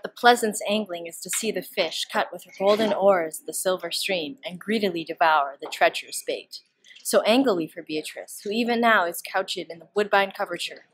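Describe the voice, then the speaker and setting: A teenage girl playing a deferential gentlewoman attendant who joins in a plot, in a student Shakespeare performance. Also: lowish voice, moderate pace, slight background noise, close to an everyday voice, poor audio. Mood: conspiratorial. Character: Ursula, young gentlewoman attendant, deferential co-conspirator.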